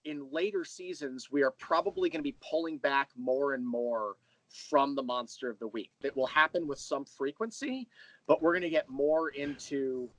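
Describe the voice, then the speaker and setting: A man's voice: medium pitch, normal speed, dry recording, poor audio. A man speaking as an interview guest, explaining things in detail in a conversational way. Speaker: interview guest, audio drama creator.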